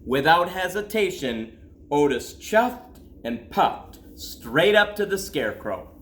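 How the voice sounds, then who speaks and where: A man, a school principal, reading a children's story aloud expressively, with dramatic pauses and drawn-out words. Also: a little high, sing-song, a little hiss or hum. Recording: roomy echo.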